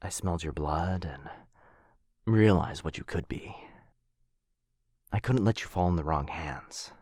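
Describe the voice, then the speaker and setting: Very deep, husky vampire lord, acted by a man. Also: a little slow, darkish tone, no echo, studio quality, heavily acted. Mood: remorseful, earnest. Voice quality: breathy, husky, often dropping to a whisper. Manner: hesitant, tender, confiding. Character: apologetic, protective male vampire lord, soft-spoken and earnest. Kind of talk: intimate boyfriend ASMR roleplay audio.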